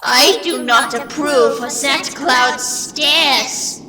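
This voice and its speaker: A woman's voice: high voice, very slow, pushed and bright, exaggerated voice. A woman voicing a whimsical, deluded captain in a comic dub, theatrical and sing-song, grandly commanding. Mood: dramatic, urgent. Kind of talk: comic dub character acting. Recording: background music behind the voice.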